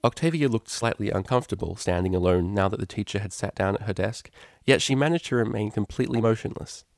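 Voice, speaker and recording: A man's voice: fairly low pitch, fast talker, gravelly, no echo, studio quality. A man reading a scripted story aloud as an audiobook narrator. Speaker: audiobook reader.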